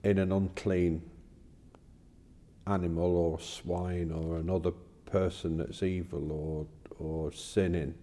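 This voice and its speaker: A plain-spoken man talking haltingly in a casual discussion of faith, recorded with long reverb. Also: low voice, little pitch movement, warm tone.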